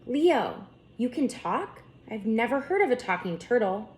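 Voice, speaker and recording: Woman voicing an excitable, high-pitched storybook schoolgirl. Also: a little slow. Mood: amazed. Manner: eager, wide-eyed, earnest. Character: excitable, curious young schoolgirl with pet turtle.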